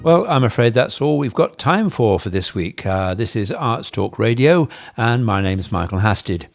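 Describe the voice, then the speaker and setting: A man's voice: medium pitch, sing-song, moderate pace, vocal fry. A man hosting a radio programme, delivering scripted credits and sign-offs. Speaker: radio host. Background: music.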